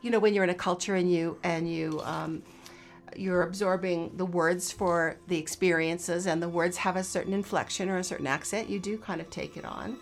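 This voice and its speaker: A woman's voice: lowish voice, steady tone, normal speed, vocal fry. A woman from Canada with a North American accent tinged with Australian, hosting a casual chat and telling rambling anecdotes. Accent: North American with some Australian. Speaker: host.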